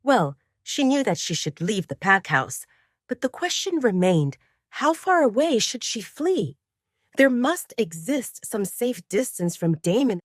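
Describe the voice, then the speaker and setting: A woman narrating scripted romance fiction in an expressive, dramatic storytelling manner. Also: on the high side, unhurried, no room sound, clean studio sound.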